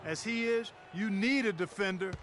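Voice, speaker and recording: A man's voice: high voice, moderate pace, background noise, lightly acted. A man playing an agreeable, opinionated TV basketball analyst in a video game, explaining plays conversationally. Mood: analytical. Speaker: color analyst. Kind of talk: conversational sports commentary.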